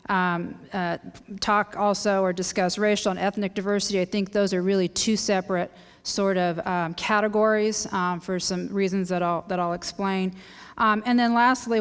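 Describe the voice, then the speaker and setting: A woman's voice: medium pitch, smooth. A woman in her thirties, a law professor, speaking earnestly at a panel table with many filler words. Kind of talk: panel lecture. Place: large hall, seated at a panel table. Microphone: table microphone on a stand. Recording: reverberant lecture hall.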